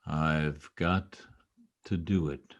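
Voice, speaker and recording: A man in an old-time radio play, acting a protective, brusque young state trooper with a gruff, commanding manner. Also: rumbling low, steady tone, very slow, exaggerated voice. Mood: entranced, dazed. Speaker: amateur radio play actor. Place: home, over a video call.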